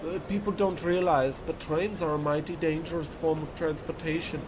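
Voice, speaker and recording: Man as an eager high-voiced young ticket clerk. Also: moderate pace, a crowd in the background, lightly acted. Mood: polite, folksy. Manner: chirpy, bootlicking, excitable. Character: eager, folksy, fawning young male train ticket clerk. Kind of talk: old-time radio play parody acting.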